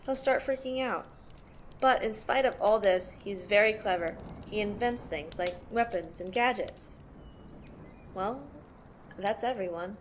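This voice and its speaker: A woman's voice: medium pitch, measured pace, close to an everyday voice. A woman playing a tough, protective sister who mothers her brothers, explaining things matter-of-factly in an amateur audio play. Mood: explanatory. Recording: noisy amateur home recording.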